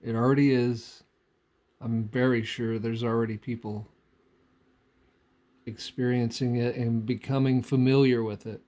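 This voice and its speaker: A man giving an unscripted spiritual monologue in halting, deliberate phrases with pauses and repetition. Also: medium pitch, flat delivery, mellow. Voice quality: frequent throat clearing. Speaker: spiritual speaker.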